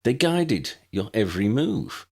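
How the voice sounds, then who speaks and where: A man voicing an erudite, unflappable old wizard in a fantasy audiobook, measured and pedantic with a needling edge. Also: fairly low pitch, sing-song, creaky, husky, pitched above the performer's own voice, exaggerated voice. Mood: probing, mocking. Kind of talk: fan-fiction audiobook character voice.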